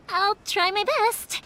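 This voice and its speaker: Very high-voiced cheerful game girl, chatty, warm-hearted, swooping intonation, faint music. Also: exaggerated voice. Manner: bubbly, friendly, expressive. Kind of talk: scripted video game voice acting.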